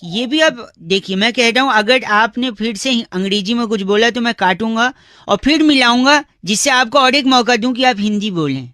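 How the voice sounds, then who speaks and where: A man's voice: very high voice, moderate pace, bright, forceful tone, dry recording, clean studio sound. A man voicing a cheeky, mock-polite young male prank caller in a comic radio bit, with teasing, theatrical delivery. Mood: mock-polite, pedantic.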